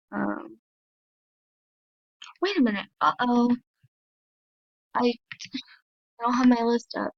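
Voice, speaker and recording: A woman with a slightly high voice hosts a casual gaming video, tired and a little dry-throated. She talks slowly and hesitantly, filling pauses with ums and apologies. The sound is dry and dull, from a lo-fi mic. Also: no echo. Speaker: gaming channel host. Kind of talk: casual game commentary.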